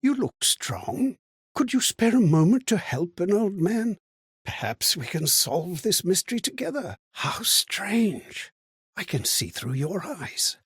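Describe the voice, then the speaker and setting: An actor playing a frail, forgetful old man in a video game, anxious and kindly, with a hesitant, plaintive delivery and a husky, breathy voice. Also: high-pitched, no room sound, clean studio sound, full character voice. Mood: anxious, pleading, then wistful, uneasy. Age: older. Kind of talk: theatrical video game character acting.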